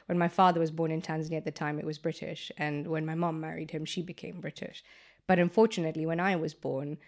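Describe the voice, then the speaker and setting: Low-voiced woman telling her story. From Kenya. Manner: chatty, animated, many "like" fillers. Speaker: guest entrepreneur. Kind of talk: casual interview storytelling.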